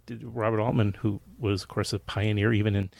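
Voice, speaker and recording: Gravelly, lowish man hosting a casual chat. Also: little pitch movement, brisk, a little mellow, no echo. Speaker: podcast host. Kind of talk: casual conversational interview.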